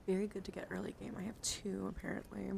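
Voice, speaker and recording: A woman streamer speaking softly and playfully in an ASMR style, close to the microphone, her breathy voice often dropping into a whisper. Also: mid-range voice, level tone, fast talker. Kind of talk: soft-spoken ASMR card showcase. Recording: clear background noise.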